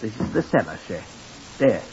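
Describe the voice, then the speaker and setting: A man's voice: lowish voice, big pitch swings, moderate pace, darkish tone. An actor playing a simple, eager young hotel lift boy who rambles deferentially and calls everyone sir, in old-time radio acting. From Blackpool, Lancashire. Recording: muffled old radio recording with hiss.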